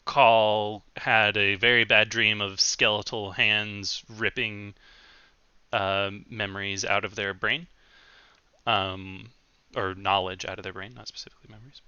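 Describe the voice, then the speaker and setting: A man with a low, very bright voice that comes across clear and smooth, recapping a tabletop campaign as game master. He talks loosely, with frequent ums and restarts. The sound is dry but dull and lo-fi. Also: flat delivery, a little slow, no echo. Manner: loose recap with many ums and self-corrections.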